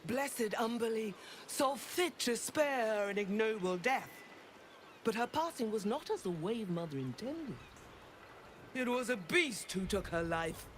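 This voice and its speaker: A woman's voice: on the high side, a little hiss or hum. A woman playing a stern, zealous priestess of a sea goddess in a fantasy game, preaching in a solemn, florid manner. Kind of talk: dramatic fantasy game acting.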